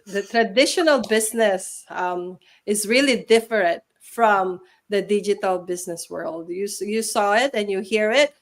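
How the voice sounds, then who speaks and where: A woman's voice: mid-range voice, normal speed, few pauses. A woman hosting a livestream interview, upbeat and persuasive, with frequent fillers and restarts. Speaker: host.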